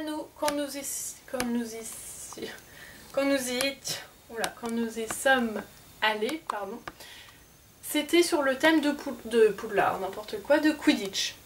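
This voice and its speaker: A woman vlogger with a slightly high voice talks casually through her souvenirs, stumbling and restarting her sentences often. She sits a little back from the mic, with a faint hiss underneath. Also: far from the mic. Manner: hesitant, many fillers and self-corrections. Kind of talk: casual show-and-tell.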